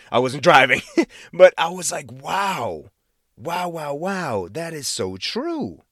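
A man's voice: mid-range voice, no room sound, studio quality. An American man hosting a casual devotional talk, speaking conversationally and emphatically, often repeating words for stress and checking in with the listener. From Boston area, United States. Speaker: podcast host.

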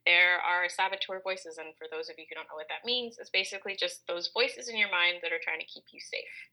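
Candid woman with a very bright voice. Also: on the low side, steady tone, brisk, poor audio. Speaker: co-host. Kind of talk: reflective conversational talk on self-care.

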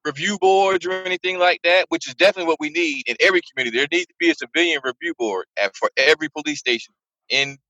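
Brief: opinionated male co-host, bright tense voice, dry close mic. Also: fairly high pitch, quick pace, no echo, highs cut off. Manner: fervent, opinionated. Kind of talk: casual podcast chat.

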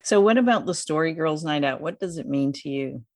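A woman's voice: low voice, lively intonation, brisk, heavy background noise. A woman interviewing a guest as podcast host, in a conversational style with hesitant phrasing.

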